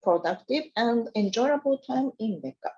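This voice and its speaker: A woman's voice: a little high, expressive, normal speed, a little mellow. A woman giving a scripted university presentation, speaking carefully and formally as she reads through her slides. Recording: dull lo-fi sound.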